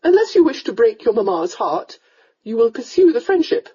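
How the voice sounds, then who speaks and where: A woman's voice: very animated, moderate pace, warm tone, slightly rough, muffled recording. A woman voicing a fussy, domineering countess scolding her daughter in a storybook reading. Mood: commanding. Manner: scolding, shrill, melodramatic.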